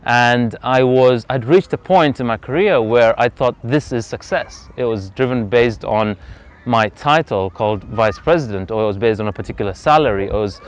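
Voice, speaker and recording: A man, telling his personal story as an interview guest outdoors in earnest, reflective, measured speech. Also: medium pitch. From Zambia. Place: outdoors on a freezing day. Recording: slightly dull lo-fi outdoor recording.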